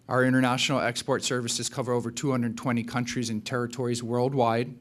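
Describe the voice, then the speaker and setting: Man from Hawaii giving a slide talk. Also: medium pitch. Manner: polished, scripted delivery with occasional fillers. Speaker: account executive presenting. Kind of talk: slide presentation.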